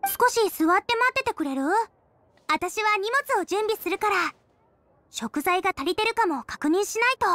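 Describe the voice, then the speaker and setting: A woman's voice: very high voice, lively intonation, moderate pace, pushed and bright, full character voice. A woman playing a kindly, cheerful teenage girl cook in an anime-style game, girlish, friendly and easygoing.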